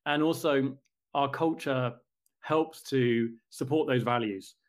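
Man, interview guest, measured, thoughtful, mid-range voice, video call. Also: mid-range voice. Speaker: company representative, guest. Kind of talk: conversational interview answers.

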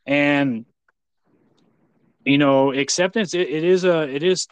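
A man's voice: on the high side, slow, clean tone, no room sound, rough recording. An American man hosting a casual interview, talking effusively in a rambling way with many repeats. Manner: effusive praise, rambling, repeats "you know". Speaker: podcast host.

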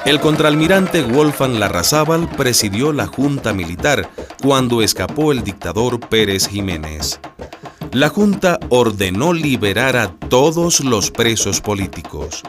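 A man's voice: mid-range voice, animated, measured pace. A man narrating a historical radio drama from a script. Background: music.

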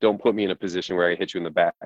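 A man's voice: low-pitched, fairly even intonation, racing, dry recording. An American man co-hosting a casual sports show, giving blunt, opinionated takes in a conversational way over a dull, lo-fi microphone. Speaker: sports talk podcast co-host.

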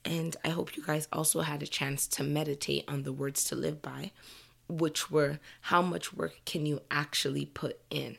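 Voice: mid-range voice, little pitch movement, moderate pace, gravelly, fairly bright tone, breathy edge. A podcast host with a froggy voice from a slight cold, giving a candid, earnest motivational monologue to listeners.

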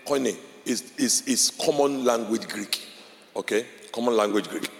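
A pastor walks his class through a lesson, a Yoruba speaker whose voice sits at an ordinary middle pitch. He repeats his key points and often asks whether everyone is following. His voice carries a long reverb, as if he is speaking in a large hall. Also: a little slow, creaky. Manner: explains step by step, checks in with "all right?" and "okay?"; repeats key phrases for emphasis. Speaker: pastor teaching a Bible class. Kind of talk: classroom-style teaching talk.

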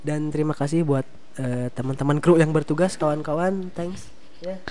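A young man hosting a casual podcast, talking with a stuffy, slightly hoarse nose and a playful, teasing manner. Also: a little high, flat delivery, moderate pace, warm tone, slight background noise. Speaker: podcast host. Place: coffee shop.

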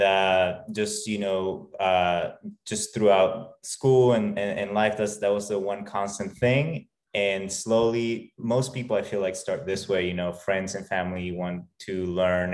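A man from Peru speaks in a notably low voice, telling his story as a podcast guest. He talks loosely and haltingly, full of "uh" and "you know" and restarted phrases. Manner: halting, many fillers and restarts. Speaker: guest, fitness trainer. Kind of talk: casual interview talk.

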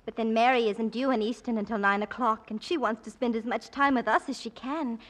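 A woman's voice: fairly high pitch, steady tone, brisk, a bit bright, close to an everyday voice. An actress playing a naive, friendly young girl in an old radio drama, chatty and guileless. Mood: chirpy, innocent. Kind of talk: 1940s radio drama acting.

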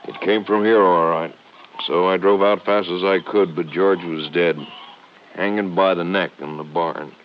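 Earnest small-town Texas sheriff, lowish voice, plain-spoken, muffled vintage radio drama sound. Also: male voice, fairly even intonation, measured pace. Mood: earnest, concerned. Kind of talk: 1950s radio drama acting. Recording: old muffled radio recording, faint noise.

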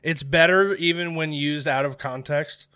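A man's voice: fairly high pitch, steady tone, moderate pace, bright, forceful tone, clean tone. A man in his thirties co-hosting a podcast, chatting casually in a laid-back, self-mocking way and laughing often. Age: 30s. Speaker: podcast co-host. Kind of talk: casual chat.

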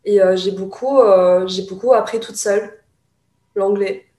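A woman's voice: mid-range voice, steady tone, measured pace, dark tone, reverberant. A young woman from Taiwan, a guest in a casual chat, speaking thoughtfully with many hesitations. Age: young adult.

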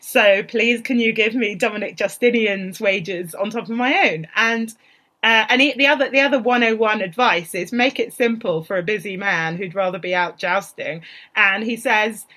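A woman with a bright, forward voice at an average pitch talks informally about history. She is animated and chatty, with a wry touch to her storytelling. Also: normal speed. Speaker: podcast guest discussing history.

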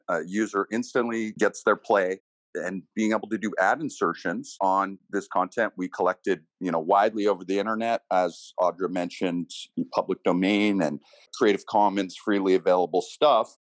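A man with a fairly low voice explains technical matters as a panel guest. He is conversational and a little halting, and the sound is dry, with no room echo.

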